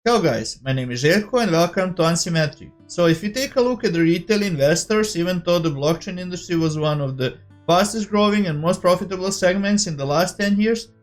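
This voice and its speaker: A man with a slightly high voice and a Serbian accent, a native Serbian speaker, hosts an online channel. He delivers a prepared introduction straight to his audience. Faint music plays behind him. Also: animated, fairly quick. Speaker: host of a cryptocurrency investing channel. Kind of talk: scripted introduction to camera.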